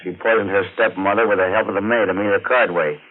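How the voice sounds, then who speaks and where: Male actor as sinister chauffeur with deadly intent, deep insinuating voice, old thin radio sound with hiss. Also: fairly even intonation, fast, close to an everyday voice. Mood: matter-of-fact. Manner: smooth, insinuating, menacing. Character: menacing former chauffeur turned would-be killer, smooth and threatening. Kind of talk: 1940s radio mystery acting. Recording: narrow, tinny, noisy 1940s radio recording.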